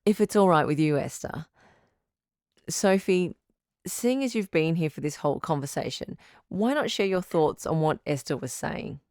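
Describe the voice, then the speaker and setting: A woman playing a supportive, frank doctor who hosts a radio advice show in an audio drama, measured and reassuring. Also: sits low, expressive, darkish tone, no room sound, studio quality. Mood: gentle, probing. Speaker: radio call-in host.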